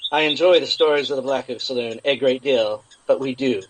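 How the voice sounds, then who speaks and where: A man's voice: medium pitch, brisk, a little hiss or hum, natural voice, low-quality recording. A man voicing a dutiful, formal woman in a Western posse, with a businesslike manner, in an audio story.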